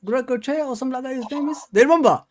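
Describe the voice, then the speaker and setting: A man's voice: extremely high pitch, very fast. A man chatting animatedly as host of a casual football fan talk show. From Saudi Arabia. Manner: animated, excitable. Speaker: podcast host. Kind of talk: casual chat.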